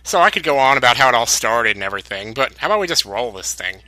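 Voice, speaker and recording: Man, medium pitch, brisk countdown narration, casual slangy wording, wry asides, music underneath. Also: big pitch swings, pushed and bright, low-quality recording. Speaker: host of a video game countdown. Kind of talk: scripted video essay narration. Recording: music behind the voice.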